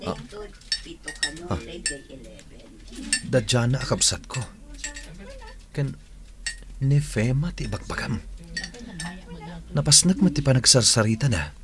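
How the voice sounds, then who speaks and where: A man's voice: medium pitch, lively intonation, slow, pauses often. A man with an Ilocano accent playing a troubled office worker and protective older brother in a radio drama. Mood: casual, indignant.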